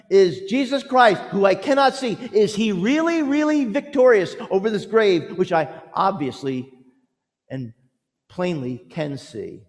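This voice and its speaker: A man preaching a storytelling sermon with a theatrical, emphatic delivery, using dramatic pauses and moving between loud proclamation and hushed lines. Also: high voice. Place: large reverberant church or hall. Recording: echoey hall with long reverb.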